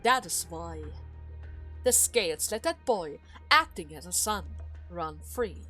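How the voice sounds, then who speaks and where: A woman voicing a manipulative older male duke in a dramatic game story, speaking in a measured, formal and condescending way. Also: a little high, sing-song, faint music behind. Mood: cold, needling. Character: scheming older male duke, coolly manipulative adoptive father.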